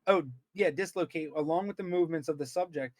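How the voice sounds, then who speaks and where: A man's voice: on the high side, fast. A man co-hosting a podcast, reading aloud with casual commentary, stumbling over words and repeating himself as he goes. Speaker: podcast co-host.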